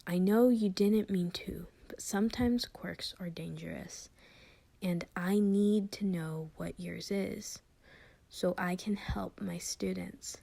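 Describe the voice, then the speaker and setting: Casting: woman as protective male hero teacher in an audio story, mellow and creaky, measured, reassuring. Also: lowish voice, exaggerated voice. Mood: concerned, soothing.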